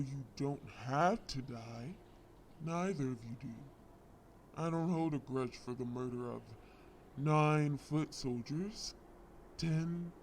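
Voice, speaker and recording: An actor playing a smug, lovesick crime lord in an audio roleplay, drawling and long-winded with polite menace. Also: male voice, medium pitch, a little hiss or hum. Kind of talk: scripted audio roleplay acting.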